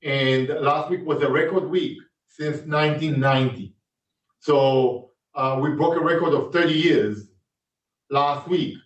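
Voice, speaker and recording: A man with an Israeli accent, an executive in an investor interview, speaking haltingly with many fillers. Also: fairly high pitch. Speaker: company executive. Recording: distant roomy muffled call audio.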